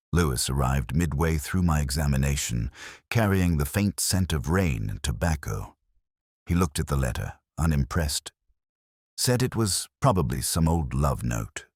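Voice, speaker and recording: A man narrating a literary detective story in the first person, with a measured, reflective delivery of scripted prose. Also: rumbling low, darkish tone, husky, no room sound, studio quality.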